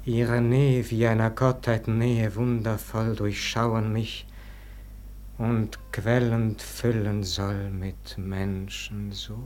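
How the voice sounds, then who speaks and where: An actor playing an anguished, self-reproaching son in a theatrical verse radio drama, moving between desperate cries and spent whispers. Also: male voice, on the low side, level tone, slow, darkish tone, a little hiss or hum. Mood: desolate remorse.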